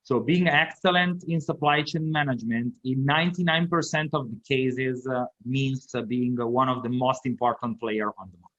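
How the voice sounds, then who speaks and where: Italian-accented male academic guest, mid pitch, measured explainer, slightly dull remote-call sound. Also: a bit bright. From Italy. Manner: careful explanatory, frequent uh fillers. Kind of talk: podcast interview answers.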